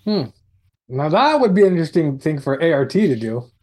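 A man co-hosting an informal show, chatting casually in a halting way and often repeating his words. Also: on the high side, big pitch swings, darkish tone. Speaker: co-host running the muting. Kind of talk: casual chat.

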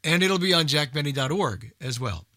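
A man's voice: on the high side, animated, moderate pace, sharp tone, no room sound. Informal male radio host, dry close sound. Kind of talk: casual unscripted programme announcements.

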